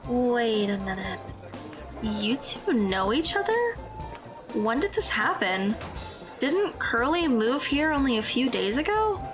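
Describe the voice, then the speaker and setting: An earnest, hesitant teenage schoolgirl acted by a woman in an audio drama. Her voice is high and tentative, easily flustered, with music clearly playing behind her. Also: very animated, takes it slowly. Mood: puzzled. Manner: tentative, questioning.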